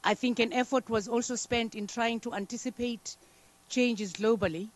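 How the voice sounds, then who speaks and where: High-voiced delegate, man. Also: fairly even intonation. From South Africa. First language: Xhosa. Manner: measured, deliberate, occasional fillers. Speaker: diplomatic delegate. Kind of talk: formal conference statement.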